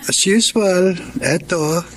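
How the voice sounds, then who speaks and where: A friendly, chummy young man in a radio drama. His voice sits a little high, and there is faint noise in the recording. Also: slow, a bit bright, poor audio. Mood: casual, chummy. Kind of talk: Tagalog radio drama acting.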